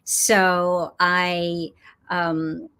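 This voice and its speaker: A middle-aged woman from Los Angeles, a yoga teacher speaking as an interview guest in an unhurried way, laughing often and pausing with frequent "um"s. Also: fairly low pitch, flat delivery, pushed and bright, clean tone. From Los Angeles, United States. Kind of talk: casual interview chat.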